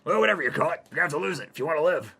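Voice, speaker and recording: A man voicing a condescending male doctor who sneers and belittles his patient loudly. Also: fairly high pitch, lively intonation, rapid-fire, gravelly, husky, pitched above the performer's own voice, exaggerated voice. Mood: mocking.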